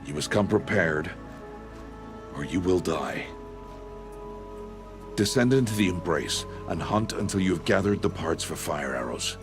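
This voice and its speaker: A man playing a stern, solemn older outcast hunter in a video game, speaking in a grave, measured, formal way. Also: low-pitched, lively intonation, music in the background. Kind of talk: video game cutscene acting.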